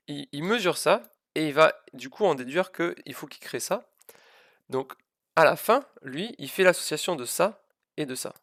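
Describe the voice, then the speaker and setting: Gravelly-voiced man teaching physics live. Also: a little high, a bit bright, dry recording, clean studio sound. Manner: hesitant, self-deprecating, repeats and stresses key words. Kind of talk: informal live lesson, explaining a demonstration.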